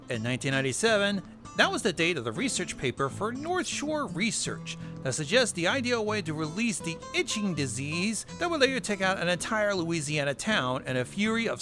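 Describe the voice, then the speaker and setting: A man hosting a narrated recap, with wry asides and casual swearing. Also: high voice. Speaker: video host. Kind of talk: narrated recap of horror web series. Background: music bed.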